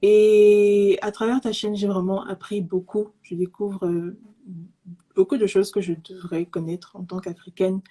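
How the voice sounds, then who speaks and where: A woman from Cameroon, raised in Zimbabwe in an English-speaking home, speaking softly and hesitantly with many fillers as an interview guest over a call microphone. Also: medium pitch, level tone, a little slow, husky. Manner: soft-spoken, hesitant with many fillers and restarts. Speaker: guest, pastry entrepreneur. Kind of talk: casual online interview. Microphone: remote call microphone.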